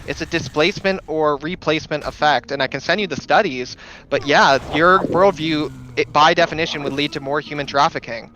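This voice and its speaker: A man's voice: fairly high pitch, pushed and bright, poor audio. Insistent young man arguing heatedly. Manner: insistent, quick to contradict. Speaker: debate guest. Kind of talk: heated live debate.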